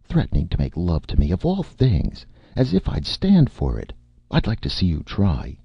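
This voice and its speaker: A man voicing a feisty, bossy woman in a story, a sharp-tongued agent who scolds briskly and emphatically. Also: deep voice, huge pitch swings, creaky, slightly rough, dry recording, lo-fi sound, voice pushed up, heavily acted. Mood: taunting.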